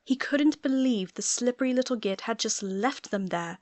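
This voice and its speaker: A woman's voice: on the high side, moderate pace, a little mellow, dry recording. A woman reading scripted story narration as an audiobook narrator.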